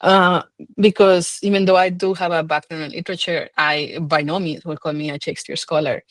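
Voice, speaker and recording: A woman's voice: deep voice, fairly even intonation, a little fast, no echo. A woman speaking as a scholar being interviewed, thinking aloud in an informal, hesitant, conversational way. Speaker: film scholar and guest.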